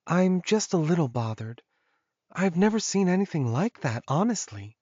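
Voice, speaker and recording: A man's voice: sits high, sing-song, moderate pace, dark tone, dry recording, dull audio, pitched above the performer's own voice. A man voicing a curious, anxious young woman in an audiobook romance.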